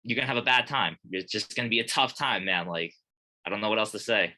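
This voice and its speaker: A young man, born in Korea and raised in Colorado, chatting as a guest from a small gym office in a relaxed, rambling way with many fillers. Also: fairly low pitch, quick pace. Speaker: guest, fighter and gym manager. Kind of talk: casual interview chat.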